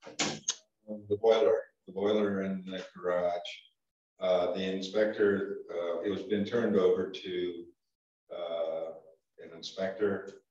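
A man's voice: sits low, flat delivery, slow pace, darkish tone. An older man with a Vermont accent chairing a small-town board meeting, hesitant with many ums and restarts. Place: town office meeting room. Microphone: far room camera. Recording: echoey room from far off, dull sound.

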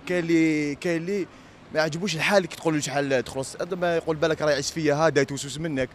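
A man's voice: a little high, fairly even intonation, very fast. A man in his twenties with an Algerian accent, giving casual answers in a street interview. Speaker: passer-by being interviewed. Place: outdoors on a busy city street. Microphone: handheld microphone. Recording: faint street noise.

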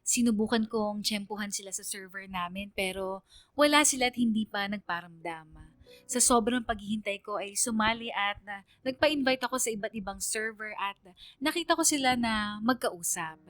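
An actress as a young woman student tells her own story in a radio drama, in a mid-pitched voice. Soft instrumental music plays behind her first-person narration. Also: normal speed, natural voice. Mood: reflective. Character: young female student recounting a lesson from friendship and love.

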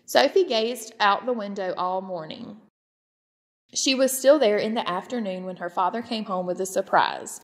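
A woman reads a children's story aloud at a middle pitch. Her phrasing is clear and expressive, and the room adds a long ring to her voice. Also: normal speed, in a large hall. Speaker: storybook reader. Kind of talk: children's read-aloud narration.

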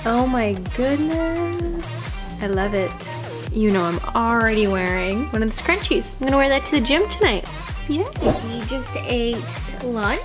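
A woman's voice: fairly high pitch, animated, unhurried. Young woman vlogging over background music. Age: 20s. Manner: informal, enthusiastic, slangy. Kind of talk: casual vlog chat to camera. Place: indoors at home, kitchen, bedroom and living room.

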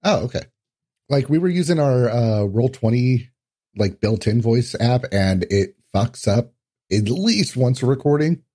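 A man's voice: mid-range voice, expressive, unhurried, dark tone, breathy edge. A man chatting casually as a podcast guest, with easygoing swearing in his conversational talk. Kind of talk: casual, profane chat.

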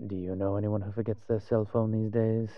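A man playing a wry, cautious vampire father in an audio roleplay, speaking in a measured, musing way to the listener. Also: lowish voice, level tone, dry recording, muffled recording, natural voice. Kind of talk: scripted audio roleplay acting addressed to the listener.

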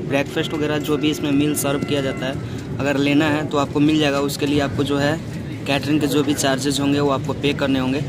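A young man vlogging a train journey in a chatty, explaining way, talking into a phone at arm's length on the train and the platforms. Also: fairly high pitch. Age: young adult. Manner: chatty, explaining, repeats fillers. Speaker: travel vlogger. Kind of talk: casual travel vlog narration. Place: on a moving train and station platforms. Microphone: phone held at arm's length, wireless earbuds. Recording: loud train and platform noise.

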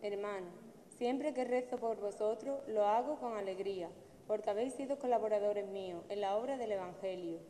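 Young woman doing the mass reading, mellow voice, long church echo. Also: mid-range voice. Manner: careful, steady reading. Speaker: lay reader. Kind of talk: liturgical reading. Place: large church at the lectern. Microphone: stand mic at the lectern. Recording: long church reverb, faint room noise.

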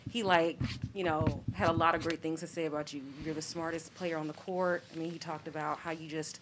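A woman's voice: low-pitched, steady tone, fairly quick, background noise. A woman reporter asking a question with a lot of hedging and fillers.

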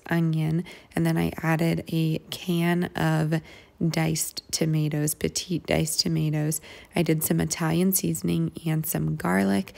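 A woman's voice: low voice, vocal fry, a little mellow. An American woman in her thirties narrating her meal prep casually as a home vlogger.

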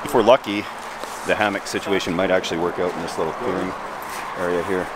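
A man in his forties talks through a camping setup in a damp forest, chatty and self-deprecating. His voice sits on the low side. Background noise is clearly audible in the outdoor recording. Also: fairly even intonation, fast. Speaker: camping vlogger. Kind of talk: casual outdoor vlog narration. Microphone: camera mic, handheld close and filmed from a distance.

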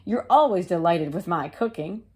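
A woman voicing a busy, firm mother hen from a storybook, with expressive, drawn-out delivery. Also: fairly low pitch, husky. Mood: resolute.

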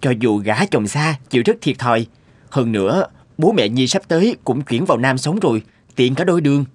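A man voicing a reasonable, persuasive family man in a cartoon dispute, with a husky voice. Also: mid-range voice, lively intonation, a little fast, no echo.

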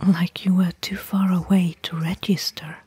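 A woman playing a caring, worried fantasy expedition companion in a soft, breathy, intimate ASMR whisper. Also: fairly low pitch, flat delivery, dry recording. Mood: hushed recounting. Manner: intimate, gentle, slow and hushed. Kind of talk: soft-spoken ASMR roleplay.

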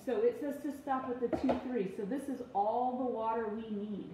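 A woman in her thirties speaks in a fairly high voice. She gives casual, step-by-step instructions, patient but firm. The room around her is very echoey, with faint noise behind her, as if recorded on a phone in a home kitchen. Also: normal speed. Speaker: parent giving a cooking demonstration. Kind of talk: casual instructional talk to camera and child. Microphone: phone propped on counter, about arm's length.

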